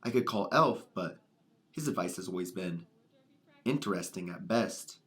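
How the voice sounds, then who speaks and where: A man's voice: deep voice, lively intonation, moderate pace, fairly bright tone. A man playing an earnest, wistful young man in a radio drama. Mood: wistful, pondering. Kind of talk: scripted radio drama acting.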